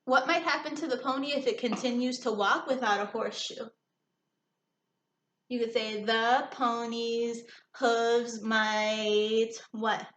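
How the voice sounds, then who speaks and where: A woman with a fairly high voice reads aloud as a teacher to young children. She explains things simply and checks in with questions, in an echoey room with faint background noise. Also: fairly even intonation, normal speed, pauses often, fairly bright tone. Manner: instructive, checks understanding often.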